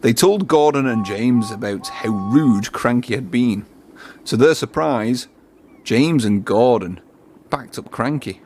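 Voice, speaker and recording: A man's voice: medium pitch, lively intonation, takes it slowly, darkish tone, a little hiss or hum. A man narrating a children's storybook, reading aloud.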